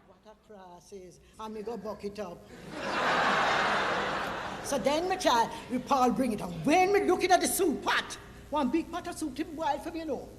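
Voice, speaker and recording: A woman's voice: high voice, lively intonation, normal speed, long reverb tail. A woman in her seventies with a Jamaican Patois accent, telling a story in a chatty way and laughing often. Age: 70s. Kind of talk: lively casual storytelling.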